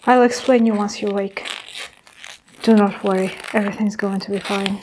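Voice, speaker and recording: A young woman acts out a sinister nurse-doctor in an ASMR roleplay. The delivery is soft, measured and reassuring, with very little pitch movement and frequent whispering. Some background noise is audible. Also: steady tone, natural voice. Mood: grave, reassuring. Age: young adult. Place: small indoor room with curtain backdrop.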